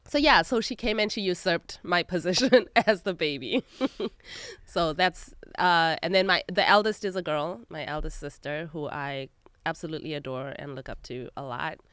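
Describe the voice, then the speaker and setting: A woman's voice: fairly low pitch, moderate pace, a bit bright, clean tone, no echo, studio quality. A young adult woman from Zimbabwe, a guest in a casual interview, telling stories with frequent laughter. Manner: laughs often, lighthearted storytelling. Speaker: guest, magazine editor.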